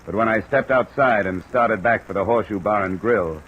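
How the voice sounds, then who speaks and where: An actor playing a tough, wry American private investigator in an old radio noir drama, narrating and talking tersely. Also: male voice, lowish voice, brisk, husky, highs cut off. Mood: wry, grim. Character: hardboiled male private investigator, dogged and dry. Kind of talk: 1950s radio noir acting and first-person narration. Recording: noisy old radio recording, steady hiss.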